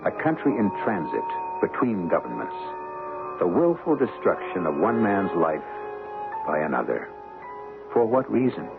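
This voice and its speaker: A man hosting and narrating a radio drama in an ominous, deliberate, theatrical style. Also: deep voice, big pitch swings. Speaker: host and narrator. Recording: thin, phone-like old radio recording. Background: music.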